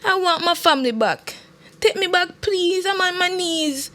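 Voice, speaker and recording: A woman's voice: a little high, unhurried, natural voice. A woman voicing a heartbroken, loving mother in a story, emotional and imploring. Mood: desperate pleading. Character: heartbroken, loving mother pleading for her family.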